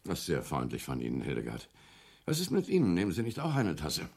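An actor in a radio play, playing a courteous, busy family doctor who speaks in a polite, measured way. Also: male voice, low voice, huge pitch swings, gravelly, a little mellow, breathy edge, full character voice. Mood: courteous, coaxing. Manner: polite, measured, formal address. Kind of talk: German radio play acting.

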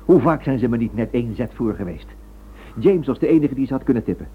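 A man playing an indignant, stubborn and excitable writer pressing accusations in a radio drama. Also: medium pitch, quick pace, slightly rough. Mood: bitter. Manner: urgent, indignant, insistent. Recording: tinny, band-limited old radio recording.

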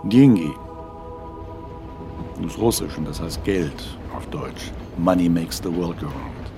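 An actor as a knowing narrator, giving explanatory scripted commentary in a radio drama. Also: male voice, deep voice. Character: knowing male commentator explaining a composer's life. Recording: music behind the voice.

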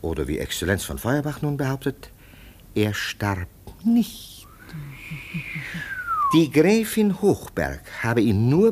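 A man acting a scornful, self-assured police lieutenant in a German radio play, with a husky, emphatic, sardonic delivery. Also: lowish voice, a little mellow, full character voice.

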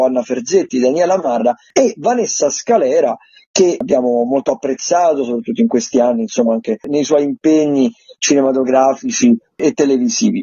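A man's voice: mid-range voice, mellow, husky, no echo. A man working as a theatre critic, giving an informal, explanatory run-through of listings for radio. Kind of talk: unscripted radio listings review. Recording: muffled lo-fi radio call.

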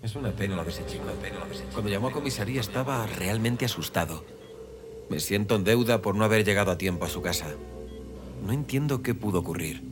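A man's voice: fairly low pitch, lively intonation, brisk, over music, close to an everyday voice. A man playing an earnest, regretful police officer in a horror audio drama. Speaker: voice actor in audio fiction.

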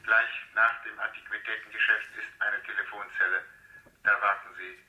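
An actor playing a cold, menacing kidnapper giving curt commands over a telephone loudspeaker in a German radio crime drama. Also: male voice, on the low side, flat delivery, normal speed. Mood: cold, threatening. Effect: telephone through loudspeaker. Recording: harsh echoey phone speaker, muffled.

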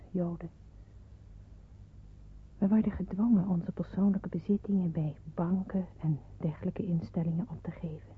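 An actress playing a grave young woman narrating a radio drama in the first person, hushed and measured. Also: mid-range voice, slight background noise, natural voice. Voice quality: very breathy, hushed. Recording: muffled phone-like old radio recording.

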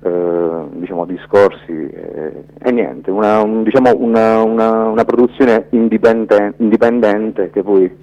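Deep, mellow, halting man. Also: steady tone, gravelly. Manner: hesitant, halting, reflective, searching for words. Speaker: documentary filmmaker, interview guest. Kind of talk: spontaneous radio interview answers. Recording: dull lo-fi radio interview audio.

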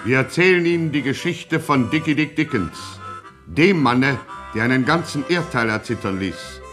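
An actor playing a theatrical, mock-solemn narrator of a satirical gangster radio saga. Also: male voice, medium pitch, expressive, normal speed, natural voice. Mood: mock-dramatic. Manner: theatrical, tongue-in-cheek solemn. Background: music.

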